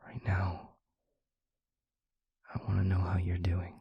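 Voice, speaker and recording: A man acts out a protective vampire boyfriend in an intimate audio roleplay. His voice is low and very breathy, with gravelly creak at the edges. He speaks softly and reassuringly, leaving long, hesitant pauses. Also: completely flat delivery, lo-fi sound, heavily acted. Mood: concerned, tender. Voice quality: breathy, husky, half-whispered. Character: gentle, protective young male vampire maker and boyfriend.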